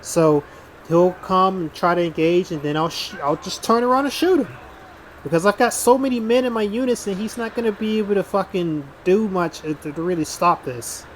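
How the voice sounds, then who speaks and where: A man's voice: sits high, normal speed, a little hiss or hum. Blunt, rambling male gameplay commentator. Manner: casual, blunt, rambling with frequent restarts and swearing. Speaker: gaming YouTuber.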